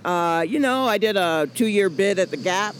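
A woman's voice: medium pitch, expressive, normal speed, clean tone. A woman in her forties from the American South, a comedian chatting in an interview, rambling and joking with lots of fillers. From Atlanta, US South. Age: 40s. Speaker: comedian guest. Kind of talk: casual interview chat.

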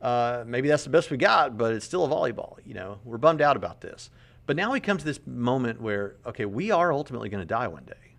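A man's voice: mid-range voice, big pitch swings, a little fast, gravelly, fairly bright tone. A man in his late thirties, earnest and conversational, commenting on a podcast clip and often repeating words as he reasons aloud. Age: 30s. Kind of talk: apologetics commentary.

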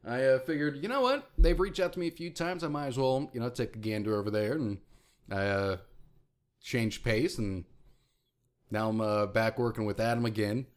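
A man in his thirties with a deep voice, co-hosting a podcast in casual, self-mocking chat with many fillers. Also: normal speed. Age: 30s. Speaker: podcast co-host.

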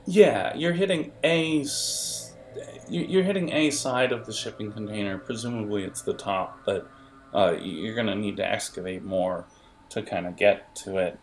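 A man improvising the narration of a tabletop adventure as game master, in a halting, conversational way. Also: lowish voice, steady tone, slight background noise.

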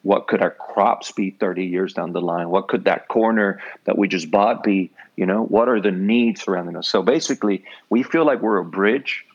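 A middle-aged man from Puerto Rico with a Puerto Rican accent, a nonprofit leader speaking calmly and reflectively as a podcast guest. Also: low voice, normal speed, hardly any pauses. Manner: calm, reflective, frequent affirming hums. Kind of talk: relaxed interview conversation. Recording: muffled lo-fi audio.

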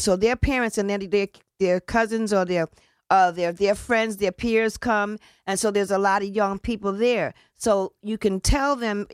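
A woman's voice: medium pitch, no room sound. A woman who organizes a community celebration, talking casually in an interview. She is earnest and rambling, restarts her sentences often, and drops in casual slang.

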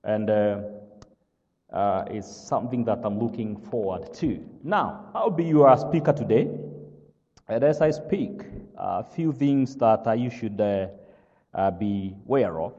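A man from Kenya with a Kenyan accent, whose first language is not English, preaching a sermon as pastor. He speaks slowly and haltingly, fills pauses with "uh" and keeps asking "all right?". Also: fairly low pitch, expressive, dark tone, long reverb tail, highs cut off. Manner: slow, halting with many "uh" fillers, checks "all right?".

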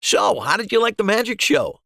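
A man's voice: sits high, very animated, normal speed, creaky, pushed and bright, dry recording, studio quality, voice pushed up, full character voice. A man voicing a patient, reassuring magician in a story, coaxing with simple leading questions. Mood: gently encouraging. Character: reassuring magician coaxing someone gently through a trick. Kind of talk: audiobook dialogue.

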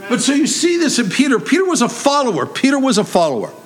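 High, animated preacher with a big voice. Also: male voice, fast talker. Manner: animated, conversational preaching with humor. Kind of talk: sermon.

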